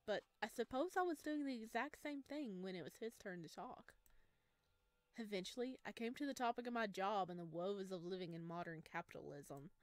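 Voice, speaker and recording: A woman's voice: medium pitch, no room sound. A woman hosting a gaming video, reading a visual novel aloud and adding casual commentary.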